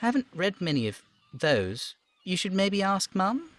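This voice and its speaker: A man's voice: sits high, sing-song, measured pace, a bit bright, voice pushed up, exaggerated voice. A man voicing a polite, earnest young girl from a video game, innocent and deferential. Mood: thoughtful, innocent. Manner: deferential, innocent, matter-of-fact.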